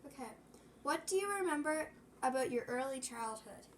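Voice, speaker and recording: A woman's voice: sits high, lively intonation, moderate pace, slight background noise. A young woman interviewing an elder for a school project, tentative and often restarting her questions. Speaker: student interviewer.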